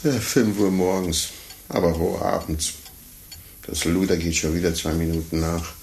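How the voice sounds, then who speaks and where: An actor playing a grumbling, muttering man in a 1950s radio play, with a gravelly voice. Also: low voice, fairly even intonation, normal speed, a little mellow. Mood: grumpy. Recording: noisy 1950s radio recording, clock ticking.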